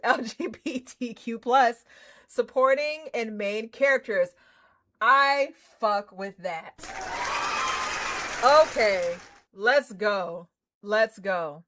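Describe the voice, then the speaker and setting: A woman live-streaming a game, reading screen text and chatting to viewers in an excitable, slangy way. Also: fairly high pitch. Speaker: streamer, host. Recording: music behind the voice. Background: game music.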